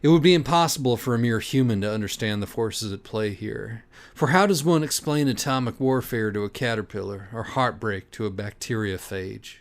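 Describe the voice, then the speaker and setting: A man's voice: lowish voice, normal speed, hardly any pauses. A man reading a parody storybook as scripted narration in a mock-serious, declamatory way. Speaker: storybook reader.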